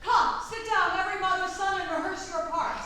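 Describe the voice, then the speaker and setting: Woman as a very high-pitched, fussy play director. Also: brisk, sharp tone. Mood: eager organizing. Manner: exasperated, instructing. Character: fussy, bossy leader of amateur players. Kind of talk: staged reading of Shakespeare comedy. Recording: roomy echoing hall, distant mic, faint noise.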